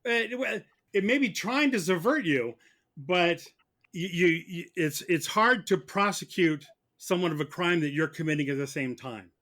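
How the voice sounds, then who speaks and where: A man arguing as a mock prosecutor in a podcast debate, halting and repeating words as he presses his point. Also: high voice, lively intonation, a bit bright. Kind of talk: comic debate on a film podcast.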